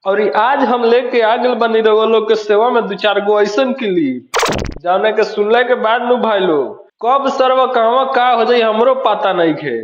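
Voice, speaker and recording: A man's voice: high voice, measured pace. A man with a Bhojpuri accent hosting a roast video, giving cheeky, mocking, crude commentary. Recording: roomy, slightly dull, music behind.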